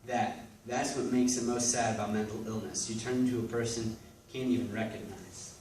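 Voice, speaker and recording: A man's voice: on the low side, steady tone, brisk, darkish tone, close to an everyday voice. A man acting in readers theatre with a dry, matter-of-fact delivery. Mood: earnest. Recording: very echoey room, distant mic, noise.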